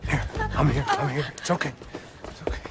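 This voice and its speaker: An actor playing a terse, world-weary middle-aged gunman with an American accent in a video game, hard-boiled and quick to swear. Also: on the high side, wildly swooping pitch, loud music behind the voice. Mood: urgent, steady. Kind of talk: video game acting and cinematic narration.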